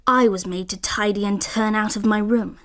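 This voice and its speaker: An actress playing a reflective woman looking back on her girlhood in a radio drama, narrating in a hushed, breathy voice. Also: medium pitch, steady tone, moderate pace, close to an everyday voice.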